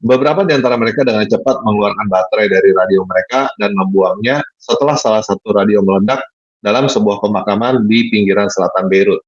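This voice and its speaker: Husky, low-voiced man reading news. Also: fast talker, dry recording, highs cut off. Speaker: news narrator and commentator. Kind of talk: scripted news narration.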